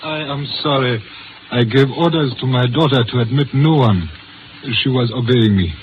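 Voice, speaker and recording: An actor in a 1950s radio drama playing a guarded, philosophical older Chinese father who speaks formally and in measured aphorisms. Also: mid-range voice, close to an everyday voice. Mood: guarded, courteous. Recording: noisy muffled old radio recording.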